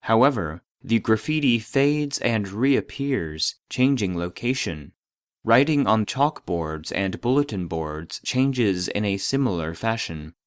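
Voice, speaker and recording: A man voicing an impersonal database, reading formal classified reports in a measured, clinical manner. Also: fairly low pitch, animated, dry recording, studio quality. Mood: clinical, detached. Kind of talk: scripted narration of a formal report.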